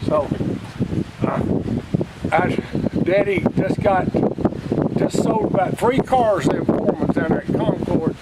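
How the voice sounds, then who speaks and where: A man's voice: sits high, huge pitch swings, takes it slowly, pauses often. An older man with a Southern US accent casually reminiscing in a folksy, plainspoken way. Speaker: interviewee, retired coal miner. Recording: noisy outdoor recording with wind.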